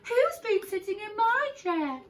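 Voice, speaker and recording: A woman voicing a fussy mother bear in a storybook, shrill and gasping. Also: sing-song, unhurried, exaggerated voice. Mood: indignant.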